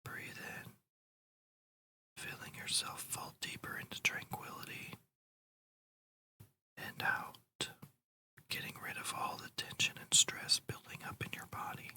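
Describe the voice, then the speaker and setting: A host whispering a guided ASMR meditation in a breathy, slow, soothing and deliberate way. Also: pushed and bright.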